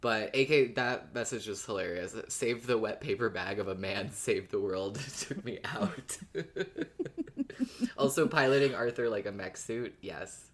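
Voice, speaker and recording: A woman co-hosting a podcast in casual, excitable, giggly chat. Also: a bass voice, a little slow. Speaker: podcast co-host.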